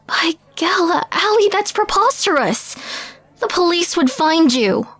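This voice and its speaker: A woman playing an anxious, skeptical young woman in an audio roleplay, speaking in a halting, unsure way as a reluctant companion. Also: very high-pitched, sing-song, a bit bright, no echo, full character voice. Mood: doubtful, alarmed. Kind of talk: scripted audio roleplay drama.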